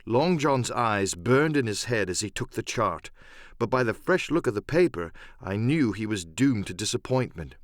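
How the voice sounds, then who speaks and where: A man narrating a scripted dramatic first-person story as an audiobook, with measured, expressive storytelling. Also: lowish voice, no room sound, clean studio sound.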